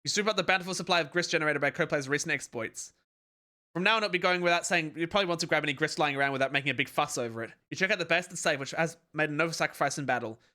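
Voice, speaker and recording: A man reading a webcomic aloud to his stream in a calm, relaxed way. His voice sits a little high and rings bright and forward. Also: racing.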